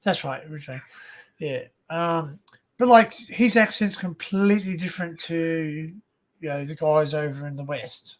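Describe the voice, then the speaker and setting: An Australian man hosting a casual livestream chat, rambling and joking in an excitable way. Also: on the high side, normal speed. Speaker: livestream host.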